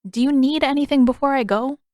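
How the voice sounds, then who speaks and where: A woman voicing an earnest, gently worried young boy in an audio story. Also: sits high, fairly even intonation, brisk, dry recording, clean studio sound, voice pushed up, close to an everyday voice. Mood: earnest, concerned.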